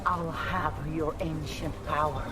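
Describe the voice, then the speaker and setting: An actor playing a threatening, predatory sorcerous villain in a fighting video game, with a menacing manner. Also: male voice, fairly high pitch, level tone, natural voice. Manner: slow, menacing. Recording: distant voice under loud music.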